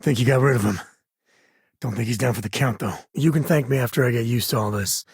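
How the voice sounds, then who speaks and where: An actor playing an easygoing, wry space cowboy lawman in a video game, protective and loyal, with a folksy, teasing delivery and a husky voice. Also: medium pitch, fast, no room sound, studio quality. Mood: conversational, dry. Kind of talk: video game character voice lines.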